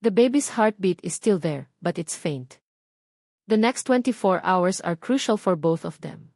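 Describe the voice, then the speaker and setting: A serious Filipino hospital doctor, acted by a woman narrator, speaking in formal English. The delivery is calm, measured and professional, as in an audiobook. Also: lowish voice, dry recording, studio quality. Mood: calm, grave.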